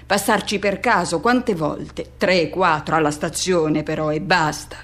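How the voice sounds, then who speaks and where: A woman's voice: on the low side, a little slow, lightly acted. A woman playing a restless, solitary middle-aged man in a radio drama monologue, speaking colloquially in short broken phrases as if questioning himself. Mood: wistful, hesitant.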